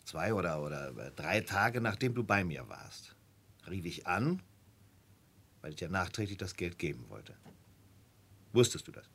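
An actor playing an estranged older brother who pleads earnestly and hesitantly, with a husky voice, in a German dub of a stage drama. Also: male voice, low-pitched, very animated, measured pace, pauses often, a bit bright, heavily acted. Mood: pleading.

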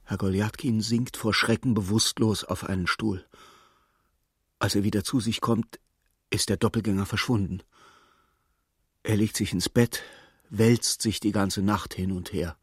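An actor playing a sober storyteller, narrating a literary radio play in a husky, measured, dramatic way. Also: male voice, fairly low pitch, fairly even intonation, no echo, studio quality. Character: sober male storyteller following a troubled clerk.

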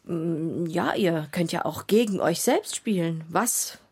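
An actress playing an insistent, earnest wife in a radio play, husky and creaky, coaxing and directing with emphasis. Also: female voice, on the low side, slow pace, heavily acted.

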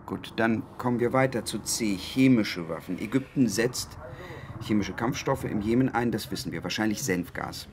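A man playing a brisk young journalist. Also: on the low side, slight background noise, lightly acted. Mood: methodical. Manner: brisk, methodical questioning. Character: eager young male journalist Johnny. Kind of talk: radio drama acting.

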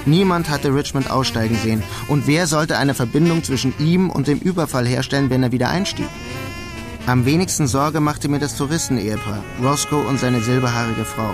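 A male actor plays a bitter male criminal telling his story in a crime radio play. His delivery is deliberate and matter-of-fact, in a mid-pitched voice, with music clearly running underneath. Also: natural voice. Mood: tense recollection. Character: male New York criminal, bitter first-person crime narrator. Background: music behind the voice.

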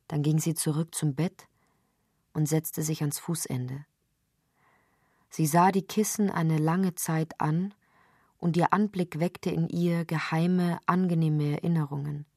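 A woman narrating literary fiction for radio in a measured, deliberate storytelling manner. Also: low-pitched, darkish tone, no room sound, studio quality.

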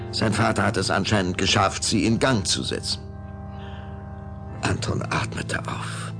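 A man narrating a children's audio drama in a measured, suspenseful storytelling style. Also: on the low side. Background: clear background music.

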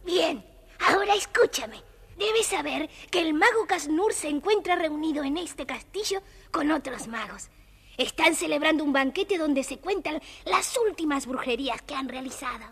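A princess trapped in an owl's body, for a children's story record. Her voice is extremely high, plaintive and earnest, with dramatic delivery. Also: animated, normal speed. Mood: earnest pleading. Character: enchanted princess turned owl, mournful, earnest storyteller.

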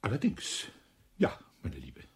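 An actor playing a suave, sinister husband in a radio play, a colonel with many dead wives, husky and languid. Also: male voice, slow pace, lightly acted. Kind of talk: radio drama acting.

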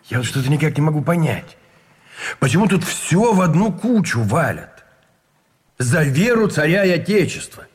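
An actor playing a proud, exasperated Russian army officer in a radio play, dismissive and indignant. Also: male voice, on the high side, sing-song, moderate pace.

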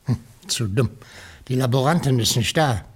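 A man's voice: mid-range voice, normal speed, breathy edge, lightly acted. An actor playing a courteous, salesmanlike male small-town shopkeeper in a radio crime drama. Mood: helpful, musing. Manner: obliging, polite, salesmanlike.

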